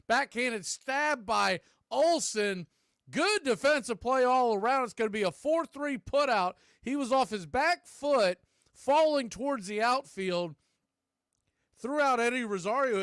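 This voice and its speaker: A man's voice: sits high, a bit bright, dry recording, studio quality. A man giving live baseball play-by-play commentary as the announcer.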